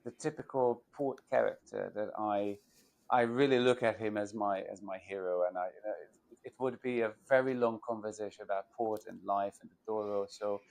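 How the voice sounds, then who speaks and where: A man's voice: lowish voice, steady tone, moderate pace, creaky, darkish tone. A man in his forties from Hungary, with a Hungarian accent, speaking English on a video call as a port wine educator, thoughtful with many fillers and restarts.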